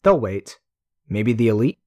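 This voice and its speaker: A man reading a sharp, wry young woman in an audiobook. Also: lowish voice, lively intonation, a little slow.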